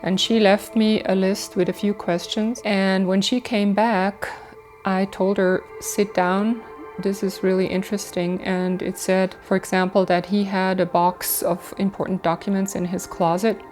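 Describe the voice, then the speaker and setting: Woman, medium talking calmly about her work, steady explaining tone. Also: medium pitch, faint music behind. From Switzerland. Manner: calm, explanatory, measured. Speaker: automatic writing medium. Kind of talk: documentary interview.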